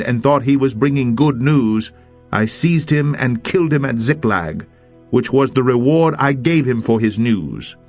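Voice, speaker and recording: A man's voice: medium pitch, pitched above the performer's own voice, lightly acted. A stern and righteous warrior king of old , as a male narrator plays him speaks with grave authority. The delivery is emphatic and declamatory, in the manner of a dramatic scripture audiobook, with music underneath.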